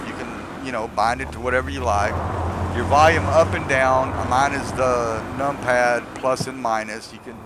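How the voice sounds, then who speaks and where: A man's voice: mid-range voice, heavy background noise. A man giving a casual, unscripted video game tutorial, explaining step by step in a rambling way. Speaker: gamer giving a mod tutorial.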